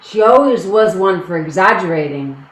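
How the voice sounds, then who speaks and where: A woman playing an anxious, protective Irish village woman, pleading deliberately in a stage play reading. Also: fairly low pitch, lively intonation, lightly acted. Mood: disapproving, pleading. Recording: roomy, echoing stage-reading sound.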